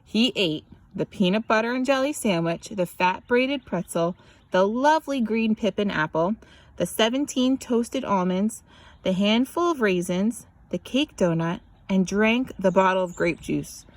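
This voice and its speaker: Casting: female storyteller, mid-pitched voice, expressive picture-book narration with animated emphasis. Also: measured pace, no room sound. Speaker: children's story reader.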